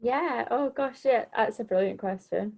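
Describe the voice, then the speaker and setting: A woman's voice: a little high, unhurried, gravelly, darkish tone, slightly rough. A woman answering as a panellist in an informal, candid, conversational way. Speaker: arts organisation leader, panellist.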